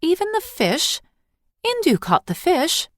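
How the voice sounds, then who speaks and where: A woman's voice: extremely high pitch, huge pitch swings, unhurried, fairly bright tone, no room sound, studio quality, voice pushed up, exaggerated voice. A woman voicing an eager young village girl in a story, earnest and coaxing. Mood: protesting.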